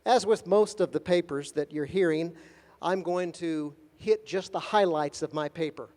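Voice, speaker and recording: A man giving a formal conference lecture in measured, deliberate phrases with gentle humor, pausing for an interpreter. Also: fairly high pitch. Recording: long hall reverb.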